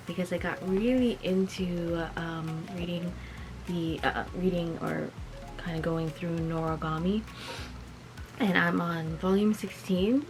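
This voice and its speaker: A woman's voice: fairly low pitch, poor audio. A young woman vlogging casually while she gets over a cold, chatty and full of fillers and small laughs. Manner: quiet and sleepy, just woken up. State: recovering from a cold. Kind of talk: casual vlog talk.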